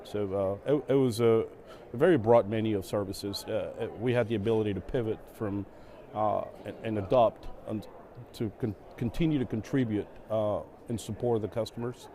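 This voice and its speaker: A middle-aged man from Venezuela, a native Spanish speaker, answering questions on a conference stage in a relaxed way, with many fillers and repeated words. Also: fairly low pitch, normal speed, a little hiss or hum. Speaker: guest, operations executive.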